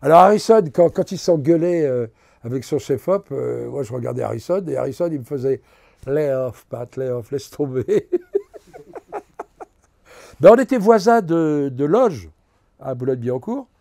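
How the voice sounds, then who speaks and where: An older man, a veteran actor, telling animated anecdotes in a relaxed interview and acting out the lines of the people in his stories. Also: mid-range voice, moderate pace, gravelly. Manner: animated anecdotes, acts out quotes. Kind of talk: casual storytelling interview.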